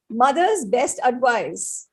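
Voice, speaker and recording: Brief: female interview host, giggly, high lively voice, unhurried. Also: breathy edge, low-quality recording. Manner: giggly, encouraging, short reactions. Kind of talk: rapid-fire interview questions.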